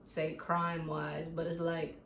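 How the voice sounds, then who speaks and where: A woman from San Bernardino hosting a casual interview, talking in a relaxed, slangy way. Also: deep voice, a little slow, roomy sound, slight background noise. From San Bernardino, California. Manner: relaxed, slangy, laid-back. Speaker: interviewer host.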